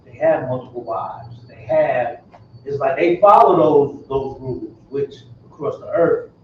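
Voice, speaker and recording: Male class member, mid-pitched, muffled lo-fi sound with faint background noise. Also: measured pace, heavy reverb, far from the mic. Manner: agreeing, builds on the teacher's point. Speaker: class participant.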